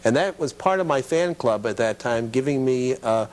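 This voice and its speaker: Man reminiscing in a halting way. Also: medium pitch, expressive. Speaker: talk show guest; advertising executive, former children's TV producer. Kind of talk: casual studio interview, reminiscing.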